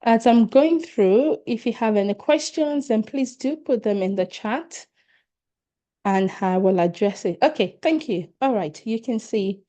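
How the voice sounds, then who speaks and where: Casting: middle-aged Nigerian-accented woman, leadership coach, mellow tone, earnest and encouraging, webinar over a laptop mic. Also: fairly high pitch, lively intonation, unhurried. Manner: earnest and encouraging, addressing attendees directly. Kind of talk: online workshop presentation.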